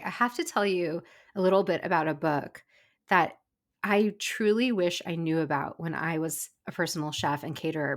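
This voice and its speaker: A middle-aged woman, a former personal chef, co-hosting a casual cooking podcast, chatty and enthusiastic, slightly stuffy from a cold. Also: lowish voice. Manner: chatty, enthusiastic, quick asides. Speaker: podcast co-host. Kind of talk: casual chat.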